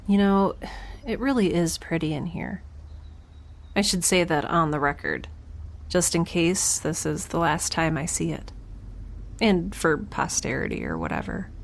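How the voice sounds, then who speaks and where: Wry, wistful young woman stranded in space, lowish voice, casual diary delivery, ambient noise behind her. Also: very animated, measured pace, creaky, exaggerated voice. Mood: wistful, reflective. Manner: casual, conversational, sardonic. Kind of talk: audio drama, first-person recorded diary.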